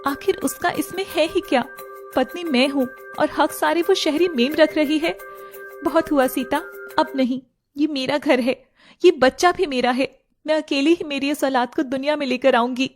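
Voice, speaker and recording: A woman's voice: high voice, normal speed, close to an everyday voice. A woman voicing a long-suffering, resolute young wife in a dramatic story. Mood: bitter resolve. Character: wronged young village wife, expectant, bitter yet long-suffering. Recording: music playing behind the voice.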